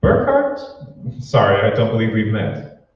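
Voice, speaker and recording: An actor playing a polite, aloof bus passenger in an old-time radio drama. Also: male voice, fairly low pitch, wildly swooping pitch, unhurried. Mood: puzzled, aloof. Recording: echoey hall, distant mic, muffled.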